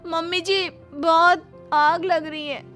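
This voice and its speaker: A woman's voice: extremely high pitch, fairly even intonation, takes it slowly, fairly bright tone, faint music behind, exaggerated voice. A woman voicing a meek, suffering young daughter-in-law in a cartoon story, plaintive and whining. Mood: suffering, whiny.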